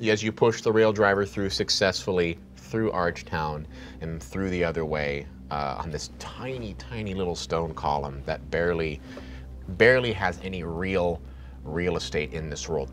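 A man in his thirties narrating a tabletop game as game master, in a loose, meandering way with fillers, at a table on a studio set. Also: low voice.

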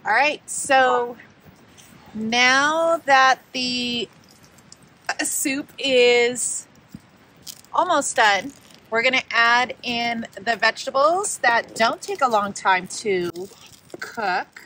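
A chatty woman in her thirties walks through a recipe while cooking outdoors at a mountain campsite. Her voice is on the high side, and she explains each step in a relaxed way. Faint outdoor noise sits behind her. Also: very slow, pauses often, low-quality recording. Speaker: camp cook, co-host. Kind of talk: casual cooking vlog.

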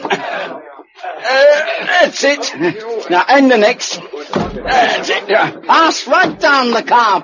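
An actor playing a joking working-class blind war veteran, chatty and wry, in a radio drama. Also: male voice, extremely high pitch, exaggerated voice. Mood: busy, jovial. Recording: muffled old radio recording, loud background noise.

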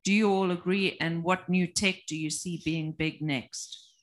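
A middle-aged woman sits on a panel and reads out questions sent in by viewers. Her voice is slightly low for a woman. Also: fairly even intonation, unhurried. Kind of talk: reading questions aloud. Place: panel table with several people at an expo.

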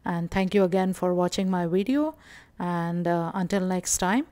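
A woman from India narrating a casual shopping walkthrough vlog, calm and explanatory with frequent pauses and fillers. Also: fairly low pitch, no room sound. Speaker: vlogger.

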